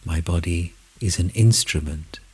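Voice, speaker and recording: A man speaks in a very low, extremely breathy and husky voice. He leads a guided meditation at a very slow pace, placing each short phrase deliberately and leaving long pauses between them. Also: darkish tone.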